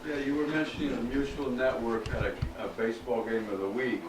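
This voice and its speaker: A man with an average-pitched voice asks questions casually from the audience. He sounds distant, in an echoey room. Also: fairly quick. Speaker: audience member asking questions. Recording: quiet, distant voice in echoey room, faint noise.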